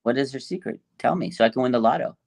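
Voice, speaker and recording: A man with a creaky, gravelly edge to a mid-range voice co-hosts a sports fan show. He chats informally and agreeably, often doubling back on a phrase to stress it. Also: medium pitch, quick pace, a little mellow. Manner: agreeable, informal, repeats himself for emphasis. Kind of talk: casual sports fan banter.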